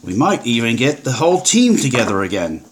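An actor with a British accent playing a breezy, upbeat forty-year-old paleontologist full of old-fashioned slang. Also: male voice, mid-range voice, big pitch swings, fairly quick, a little hiss or hum, close to an everyday voice. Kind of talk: audio drama acting.

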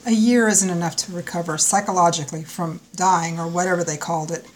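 A woman voicing an eager young geneticist and wife, earnest and gently pleading. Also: fairly low pitch, fairly even intonation, brisk, slight background noise, close to an everyday voice.

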